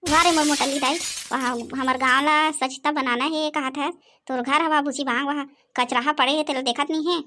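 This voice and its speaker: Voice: high-pitched, steady tone, moderate pace, pushed and bright, faint music behind. A performer voicing a cheeky, idle village lad who grumbles and teases in a Chhattisgarhi accent for a comedy cartoon. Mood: grumbling.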